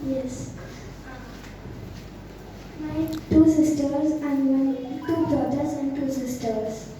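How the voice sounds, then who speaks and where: Soft-spoken young girl, echoey room. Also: high-pitched, fairly even intonation, very slow, a little hiss or hum. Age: about 11. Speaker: student. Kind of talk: answering questions in English. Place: school room with echo. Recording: echoey room, mic far off.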